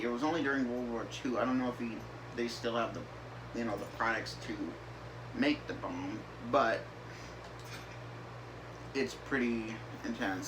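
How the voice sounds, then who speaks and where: A young man from the northern United States talking casually over gameplay, rambling with many fillers and restarts. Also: mid-range voice. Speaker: gaming YouTuber. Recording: game engine noise behind.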